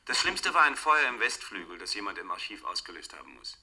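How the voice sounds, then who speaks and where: A man's voice: lowish voice, big pitch swings, a little fast, sharp tone, heavily acted. An actor playing a serious, thoughtful man in a German science-fiction radio play. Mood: grave, urgent. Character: serious, thoughtful man reporting on a future crisis.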